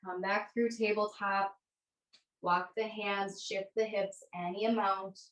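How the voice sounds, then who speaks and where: A woman teaching a yoga class, giving gentle, unhurried guided instructions. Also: medium pitch, little pitch movement. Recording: echoey room, distant microphone.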